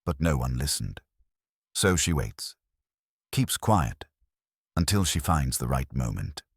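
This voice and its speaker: Male reader as thoughtful English police inspector, mystery story: very low voice, measured and probing, dry close sound. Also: expressive, gravelly, slightly rough, dry recording, exaggerated voice. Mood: deliberate, thoughtful. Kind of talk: dramatised mystery audiobook.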